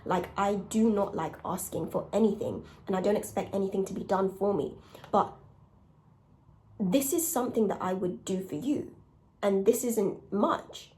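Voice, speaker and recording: A young woman with a raspy voice, talking candidly and conversationally in a casual solo confessional, with emphatic points. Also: medium pitch, normal speed, darkish tone, low-quality recording. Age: young adult. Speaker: podcast host and content creator.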